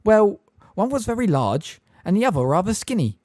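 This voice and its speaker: A nervous old woman speaks in a high, quivering voice that gabbles through her answers, as a male audiobook reader puts her on. Her tone swoops about restlessly. It is recorded close and dry. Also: big pitch swings, moderate pace, darkish tone, dry recording. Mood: flustered. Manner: gabbling, flustered. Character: old frail nervous female witness. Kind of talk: audiobook character voice.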